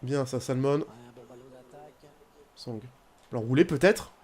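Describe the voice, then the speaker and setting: A young man commenting casually on his football video game career, rambling, with bursts of excitement. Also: mid-range voice, slow pace. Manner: chatty, rambling, bursts into excited shouting at goals. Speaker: gaming YouTuber.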